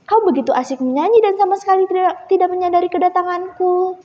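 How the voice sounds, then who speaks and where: Girl playing a gentle, wise village woman, echoey hall. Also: very high voice, fairly even intonation, quick pace, voice pushed up. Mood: encouraging. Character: kind, wise, patient, pretty village woman. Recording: muffled echoey hall recording.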